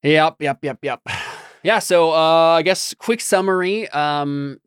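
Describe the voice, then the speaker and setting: A man's voice: a little high, slow, smooth, no echo. Casual male podcast host with a dry, close sound.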